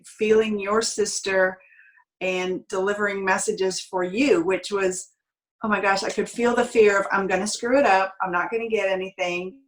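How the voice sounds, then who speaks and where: Female interview host, mid-range voice. Casual, earnest, conversational delivery with expressive phrasing. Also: medium pitch, rough recording. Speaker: show host.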